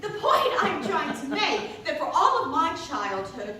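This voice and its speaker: A woman playing a rambling, doubting, wry churchgoing mother in a halting comic stage monologue, full of digressions and self-interruptions. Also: sits high, big pitch swings, a crowd in the background. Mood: wry, nostalgic. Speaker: actor performing a monologue. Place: indoor staged reading in a reverberant room. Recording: echoey room, distant mic, faint noise.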